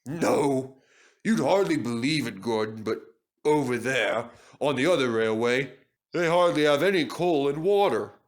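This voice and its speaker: A genial, self-satisfied old champion of a steam engine, as a man reads him. He confides like an elder brother in a storybook, slowly and with plenty of melody. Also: medium pitch, expressive, close to an everyday voice. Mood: genial, proud.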